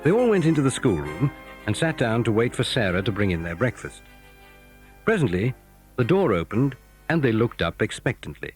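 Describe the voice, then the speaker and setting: A man's voice: medium pitch, big pitch swings, normal speed, faint music behind, close to an everyday voice. A man narrating a children's adventure audio drama in a scripted storytelling style. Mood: measured storytelling. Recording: 1980s cassette audio drama.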